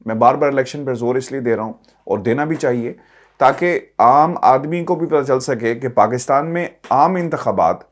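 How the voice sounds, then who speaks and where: A man's voice: medium pitch, moderate pace. A man with a Pakistani accent who gives news commentary to his viewers as a political vlogger, speaking emphatically with rhetorical questions and repeated phrases.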